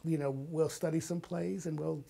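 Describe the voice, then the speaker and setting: An older man talking casually as an interview guest, telling stories in an animated, enthusiastic way. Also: fairly high pitch, moderate pace, creaky. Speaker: guest, writer and filmmaker.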